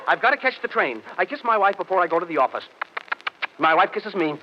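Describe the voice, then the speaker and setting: A man's voice: high voice, sing-song, fast. An actor playing an aggrieved, self-important, eccentric sound man in a 1940s radio comedy. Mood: earnest. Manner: theatrical, pompous. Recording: noisy, muffled old radio broadcast recording.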